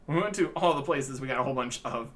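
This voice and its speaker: A man's voice: mid-range voice, racing, a bit bright. A man giving casual, rambling gameplay commentary in an understated way. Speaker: video game let's player.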